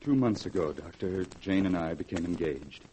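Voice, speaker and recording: An actor playing a haunted, bitter husband in a grave, dramatic 1940s radio horror style. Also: male voice, low-pitched, animated, moderate pace. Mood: haunted, brooding. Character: haunted, bitter husband, desperate to escape his wife. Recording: hissy vintage 1940s radio recording.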